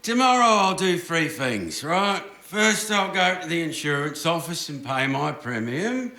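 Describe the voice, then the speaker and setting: A creaky, high male voice in a roomy, noisy theatre, playing a stubborn old grandfather in a comic radio play. He is defiant and mischievous. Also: very animated, measured pace, bright, forceful tone, heavily acted. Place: theatre stage before an audience. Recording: roomy stage with noticeable background noise.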